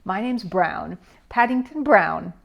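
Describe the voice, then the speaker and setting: A woman's voice: mid-range voice, expressive, slow pace, creaky, full character voice. A woman reading a storybook as a polite, earnest young male bear, eager and hopeful in manner. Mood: polite, grateful.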